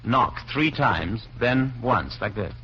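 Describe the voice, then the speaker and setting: A man's voice: mid-range voice, animated, takes it slowly. An actor playing a wary, tense Englishman on the run in an old-time radio suspense drama. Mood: guarded. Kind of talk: 1940s radio acting with first-person suspense narration. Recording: muffled old radio recording with music.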